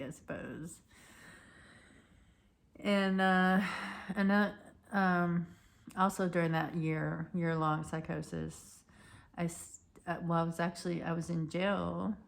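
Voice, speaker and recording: A woman in her thirties telling a personal story in a rambling, halting way, her voice hoarse and cracking. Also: low voice. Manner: rambling, halting, many restarts and fillers. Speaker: vlogger.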